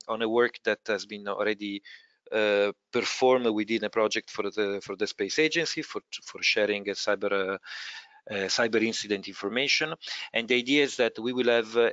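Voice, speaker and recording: A man presenting a research project in a webinar, speaking hesitantly and haltingly with repeated words. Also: fairly bright tone, clean tone, no echo. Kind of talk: webinar presentation over slides. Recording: dull, muffled low-fidelity webinar audio.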